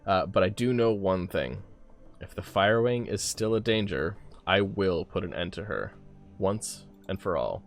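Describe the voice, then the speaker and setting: Man voicing an excited, obsessive scientist, gravelly low. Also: unhurried, soft background music, full character voice. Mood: earnest resolve. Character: excited obsessive scientist, jittery, urgent, breathless. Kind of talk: reading game dialogue aloud.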